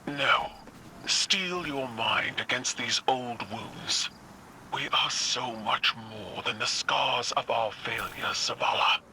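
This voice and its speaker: An actor voicing a huge, proud alien emperor who speaks in a grandiose, deliberate, commanding way in a game. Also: male voice, medium pitch, sharp tone, a little hiss or hum, heavily acted, poor audio. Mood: stern, rallying. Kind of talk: dramatic game voice acting.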